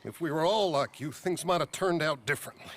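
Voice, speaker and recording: A man playing a troubled, repentant preacher in a video game, speaking earnestly and haltingly. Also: a little high, fairly bright tone, a little hiss or hum. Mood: earnest, consoling. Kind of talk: video game cinematic acting.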